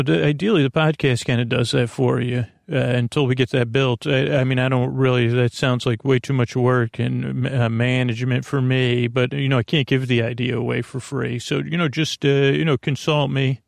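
A man hosting a sleep podcast in a rambling, improvised bedtime monologue, halting and restating himself as he gently reassures the listener. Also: medium pitch, steady tone, gravelly, no echo, studio quality.

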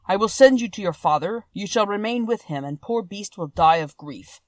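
A woman plays a gentle-hearted male beast from a storybook. He speaks emphatically and with courtly care, humble and full of sorrow. The sound is close and dry. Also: mid-range voice, lively intonation, moderate pace, dry recording, lightly acted. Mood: mournful, tender. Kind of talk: storybook character voice.

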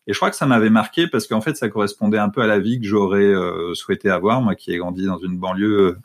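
A man in his thirties with a lowish voice answers interview questions in a relaxed, conversational way. He talks haltingly, with frequent hesitations and restarts as he thinks aloud. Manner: hesitant, many fillers and restarts. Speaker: interview guest, father.

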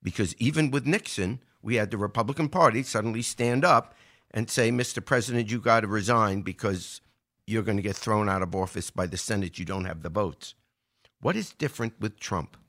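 Bright-voiced American man explaining politics. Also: lowish voice, a little fast. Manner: explanatory, emphatic, rhetorical questions. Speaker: podcast host giving political commentary. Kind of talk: conversational political commentary.

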